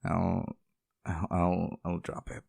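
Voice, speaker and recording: Deep, creaky, lonely demon played by a man. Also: monotone, slow, mellow, dry recording, full character voice. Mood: apologetic, hesitant. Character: lonely sealed male demon, sweet, clingy, possessively devoted. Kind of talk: ASMR roleplay, intimate scripted monologue to the listener.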